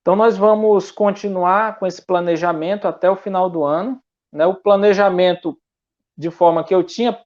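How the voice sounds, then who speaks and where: A man's voice: sits high, a little mellow, dull audio. A male missionary speaking in a conversational church interview, hesitant and full of restarts. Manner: halting, many restarts and fillers.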